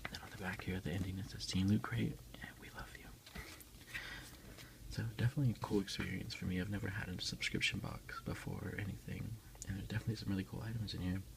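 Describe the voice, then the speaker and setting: A man speaking as an ASMR host, soft-spoken and unhurried, in a casual style. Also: low-pitched, steady tone, creaky, background noise. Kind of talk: whispered ASMR unboxing, reading aloud and chatting.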